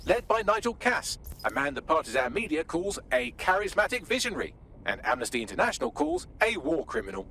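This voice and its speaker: A man playing a wry, sardonic intelligence briefer in a video game cutscene, articulate and sharp. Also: on the high side, big pitch swings, a little fast, slight background noise. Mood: dryly mocking.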